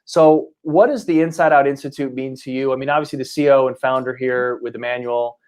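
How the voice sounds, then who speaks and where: A man hosting a casual motivational interview show, talking in a wordy, halting way with frequent restarts. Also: medium pitch, fairly even intonation, darkish tone, clear, resonant tone. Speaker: interview show host and coaching institute founder.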